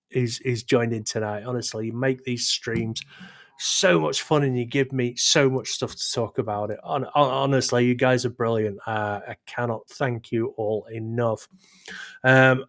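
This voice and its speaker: A man's voice: medium pitch, fairly bright tone. Chatty man with a sore throat. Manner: rambling, self-deprecating, stumbling restarts. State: sore throat, losing his voice. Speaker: live stream host. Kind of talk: casual chat reading live chat.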